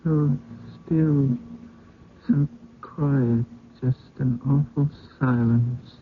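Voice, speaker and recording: A man playing a delirious, haunted husband in an old-time radio drama, speaking in halting, trance-like broken phrases. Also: medium pitch, fairly even intonation, slight background noise. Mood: dazed. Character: delirious, haunted husband, dazed and dread-filled. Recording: muffled old radio recording with music.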